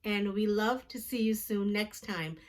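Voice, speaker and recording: A woman hosting storytime as a teacher, reading a picture book aloud to young children with deliberate, expressive phrasing. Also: mid-range voice.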